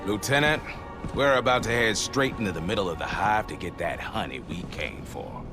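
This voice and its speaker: A man's voice: lowish voice, normal speed, music in the background, natural voice. A man playing a cocky, loyal veteran sergeant major in a game, with brash, wry military banter. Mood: confident. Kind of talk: cinematic game dialogue.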